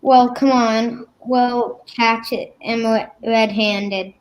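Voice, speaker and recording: A woman playing a suspicious masked stranger in an old-time radio comedy, insistent and gruff. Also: on the high side, steady tone, takes it slowly, a little hiss or hum, rough recording. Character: suspicious costumed man in a mask. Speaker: radio play performer.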